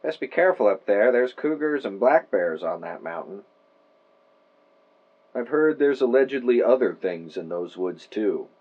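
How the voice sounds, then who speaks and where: A man's voice: fairly low pitch, expressive, measured pace, dull audio. An actor playing an old small-town storekeeper in an audio drama, wry, friendly and folksy in his phrasing. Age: older.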